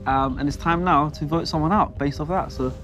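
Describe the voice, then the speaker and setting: A man's voice: fairly high pitch, fairly quick. A young man, a show regular, running the game in a playful, joking way. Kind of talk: hosting a game segment.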